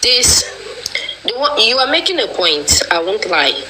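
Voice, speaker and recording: A woman's voice: mid-range voice, very animated, a little slow, sharp tone, slight background noise, rough recording. Passionate Nigerian woman quoting proverbs. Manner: passionate, accusatory, uses proverbs. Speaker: discussion participant. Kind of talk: casual online discussion.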